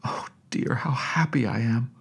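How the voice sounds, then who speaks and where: A man voicing an excited, chattering little princess in a storybook, eager and full of exclamations and questions. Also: mid-range voice, a little slow, gravelly, husky, in a whisper, pitched above the performer's own voice. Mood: delighted. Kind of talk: storybook character voice.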